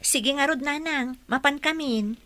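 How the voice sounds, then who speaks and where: A woman's voice: high-pitched, measured pace, sharp tone. A woman playing a polite, deferential young office employee in an audio drama. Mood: polite, affectionate. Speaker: voice actor. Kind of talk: radio-style drama acting. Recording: clearly noisy background ambience.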